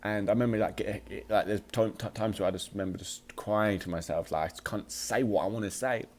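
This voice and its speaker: Low-voiced man, halting motivational talk. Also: steady tone, a little mellow. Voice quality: slight occasional stutter. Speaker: podcast host.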